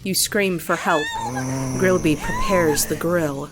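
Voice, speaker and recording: A woman acts out a grave female monster in a comic dub. She speaks slowly and solemnly, with wide dramatic swings in a lowish voice. Music plays clearly behind her. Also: huge pitch swings, exaggerated voice. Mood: ominous. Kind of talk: dramatic scripted acting. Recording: background music under the voice.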